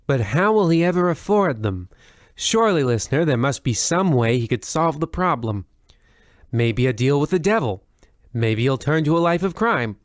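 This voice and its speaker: A man's voice: a little high, sing-song, moderate pace, no room sound, studio quality. A man playing a theatrical, ominous host narrating a parody of 1940s radio horror.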